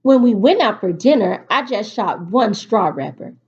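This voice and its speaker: Animated woman teacher with a slightly husky voice. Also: medium pitch, moderate pace. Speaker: teacher reading aloud to students. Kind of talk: picture-book read-aloud for a virtual class. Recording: dull, lo-fi recording.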